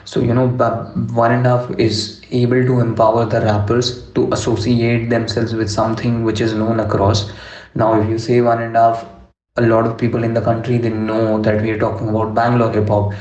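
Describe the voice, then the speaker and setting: A young man from Delhi, a rapper, answering interview questions in a measured way, with many fillers like "you know" and "uh". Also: medium pitch, completely flat delivery, darkish tone. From Delhi, India. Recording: echoey room, dull lo-fi sound.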